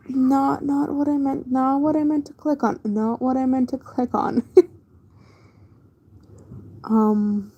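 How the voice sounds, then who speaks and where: A woman with a slightly high, mellow voice talks casually to a small stream. She takes her time, letting thoughts trail off in a laid-back way. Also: creaky. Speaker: livestreamer. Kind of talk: casual livestream chat while drawing.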